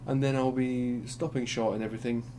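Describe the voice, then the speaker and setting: A man with a croaky voice from being unwell, talking through market charts in a rambling, hesitant way with many ums and restarts. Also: medium pitch, a little fast. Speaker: crypto chart analyst. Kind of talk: casual screen-share market commentary. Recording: steady background hum.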